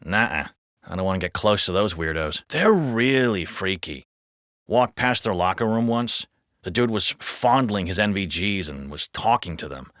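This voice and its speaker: An actor playing a jaded, foul-mouthed, sardonic police officer in a video game. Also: male voice, deep voice, lively intonation, normal speed, a bit bright, no echo, clean studio sound. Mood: wry, gossipy. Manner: gossipy, sardonic, swearing. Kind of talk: scripted video game voice acting.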